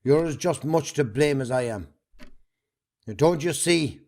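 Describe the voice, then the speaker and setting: A man's voice: a little high, animated, a little slow, darkish tone. An Irish man acting a bitter, bullying middle-aged farmer in a stage drama, blunt and combative. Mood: angry, accusing.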